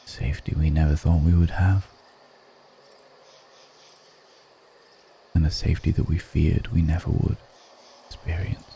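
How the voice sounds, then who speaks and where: A man playing a brooding, melancholic undead vampire in an intimate ASMR roleplay, speaking in a measured, poetic murmur with pauses between phrases. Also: fairly even intonation, a little hiss or hum. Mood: wistful, musing. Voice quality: very deep, dark, creaky murmur.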